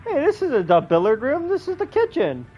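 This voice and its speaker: A streamer comments casually while playing a game. The voice moves freely in pitch, slangy and laid-back in its reactions. The recording is a little dull, with faint music behind. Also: wildly swooping pitch, moderate pace.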